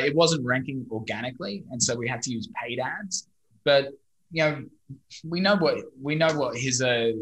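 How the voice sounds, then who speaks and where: Bright-voiced Australian man, chatty. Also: mid-range voice, low-quality recording. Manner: chatty, storytelling, mild swearing. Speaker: guest, digital marketing company owner. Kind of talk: casual podcast interview.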